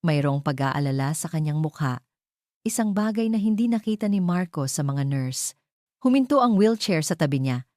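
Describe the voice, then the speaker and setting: Low, even-voiced Filipino woman storyteller. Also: moderate pace, no room sound, studio quality. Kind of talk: scripted dramatic story narration.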